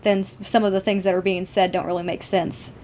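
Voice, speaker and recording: A woman's voice: medium pitch, little pitch movement, brisk, darkish tone, a little hiss or hum. Enthusiastic woman chatting as a podcast co-host. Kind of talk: casual TV episode discussion.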